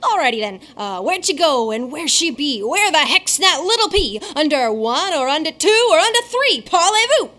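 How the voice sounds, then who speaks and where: A woman voicing a scruffy young runaway boy running a street con in a radio play, with quick sing-song hawker patter. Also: high-pitched, very animated, sharp tone, long reverb tail, full character voice. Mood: hawking.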